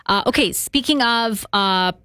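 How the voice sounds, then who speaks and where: A woman's voice: a little high, measured pace, pushed and bright, smooth, no room sound. A woman hosting a casual chat, asking loose, rambling questions with lots of fillers. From Greek family background. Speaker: host.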